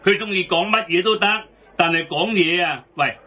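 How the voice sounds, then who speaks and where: A man's voice: sits high, normal speed, sharp tone, exaggerated voice. An actor with a Hong Kong Cantonese accent playing an exasperated, outspoken man scolding about politics in a satirical radio drama. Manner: theatrical, scolding. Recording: slightly dull radio broadcast.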